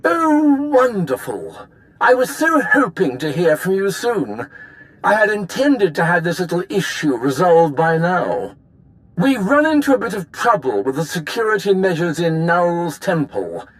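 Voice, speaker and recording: A man's voice: high-pitched, sing-song, a little slow, a bit bright, heavily acted, low-quality recording. An actor playing an eager, genteel male scholar in a video game cutscene, effusive, polite and wordy. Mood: eager, fussy.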